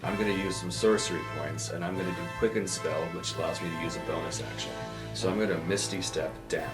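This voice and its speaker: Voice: deep voice, steady tone, fast. A player in casual tabletop roleplay chat, describing moves in a game. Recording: music behind the voice.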